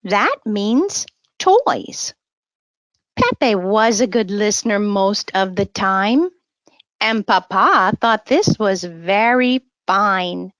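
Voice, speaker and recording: A woman reading a bilingual children's story aloud in a teacherly way, with simple, deliberate phrasing. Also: medium pitch, expressive, fairly bright tone, dry recording. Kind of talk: read-aloud bilingual children's story with word-repeat prompts.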